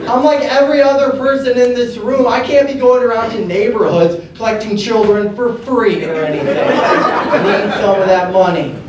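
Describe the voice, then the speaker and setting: A man's voice: high voice, over a noisy crowd. Lisping man doing stand-up in an echoey club. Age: 30s. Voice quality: lisp. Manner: deadpan, halting delivery with frequent "uh" and restarts. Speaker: stand-up comedian. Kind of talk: stand-up comedy set. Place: comedy club with audience laughter. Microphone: handheld stage mic, amplified. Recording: echoey club recording from far off with room noise.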